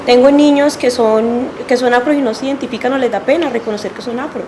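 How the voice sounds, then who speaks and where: A Colombian woman from Cali with a Cali accent, a teacher asking a hesitant, halting question from the audience. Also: a little high. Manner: hesitant, halting, many restarts. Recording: quiet, noisy, off-mic.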